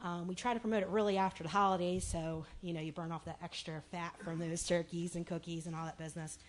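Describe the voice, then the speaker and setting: A woman with a raspy voice addressing a city commission meeting in a chatty way, with many fillers and restarts. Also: lowish voice, flat delivery, fast talker, slight background noise.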